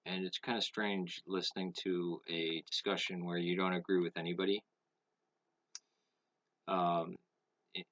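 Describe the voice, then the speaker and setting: A man giving a casual opinion monologue to camera, speaking haltingly with many pauses while smoking. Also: low-pitched, a bit bright, no room sound, dull audio. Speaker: vlogger.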